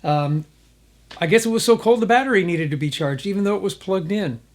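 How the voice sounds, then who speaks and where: A man's voice: high voice, brisk, darkish tone. A man hosting a hobby vlog, chatting casually and thinking aloud as he explains things. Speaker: host of a radio hobby video.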